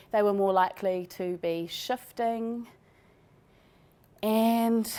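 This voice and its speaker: A woman researcher with a New Zealand accent gives an academic presentation. Her pitch is middling for a woman, and she talks in an informal, conversational way, as if explaining her own study. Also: a little mellow.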